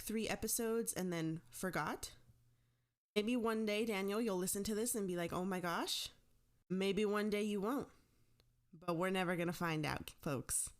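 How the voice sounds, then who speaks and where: A woman podcast host with a medium-pitched voice chats casually to her listeners. She is informal and chirpy, with a self-deprecating streak. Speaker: podcast host and show creator.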